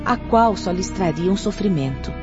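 A woman's voice: lowish voice, lively intonation, unhurried. A woman playing a composed, formal spirit instructor in a radio drama, teaching with careful diction. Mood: composed, instructive. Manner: formal, didactic, carefully enunciated. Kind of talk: scripted radio drama acting. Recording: dull lo-fi audio, music behind.

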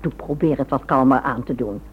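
Husky, gentle genteel wife. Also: female voice, very deep voice, fairly quick, heavily acted. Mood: tender concern. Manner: polite, solicitous. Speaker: actor in a radio play. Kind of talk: Dutch radio drama acting. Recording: thin, phone-like old radio recording.

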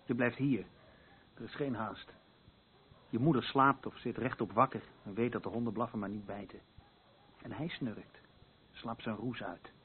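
An actor in a radio play, playing a concerned, exasperated confidant who questions pointedly and protectively, repeating himself. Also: male voice, medium pitch, steady tone, moderate pace, long pauses, slight background noise, natural voice. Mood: firm, calming. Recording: muffled, phone-like narrow band.

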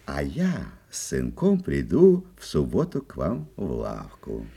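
A genial but wary forester in a storybook audio play. His voice is low, very raspy and gravelly, leaping widely as he marvels in drawn-out exclamations. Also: huge pitch swings, warm tone, full character voice. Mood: wary, confident. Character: wary old-fashioned forester, genial and easily amazed. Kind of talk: children's audio play acting.

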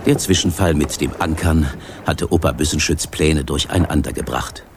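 Very deep gravelly male storyteller. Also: a little mellow, a little hiss or hum. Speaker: narrator. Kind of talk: scripted storytelling for children's radio play. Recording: boat and water sounds behind.

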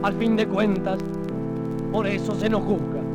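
Man doing dramatic poem recital, really high voice, loud guitar behind. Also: flat delivery, measured pace. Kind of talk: dramatic poetry recitation over music. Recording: archive record with loud guitar music. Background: loud guitar music.

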